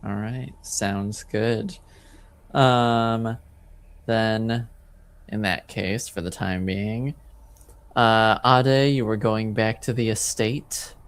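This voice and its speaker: Smooth, slow-paced male game master. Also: fairly low pitch, steady tone. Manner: halting, conversational. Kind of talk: casual improvised game narration.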